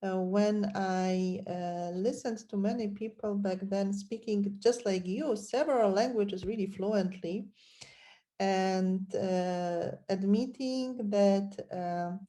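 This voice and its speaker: A woman hosting an interview, speaking deliberately with many hesitations. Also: medium pitch, dark tone. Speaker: podcast host. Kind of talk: interview conversation.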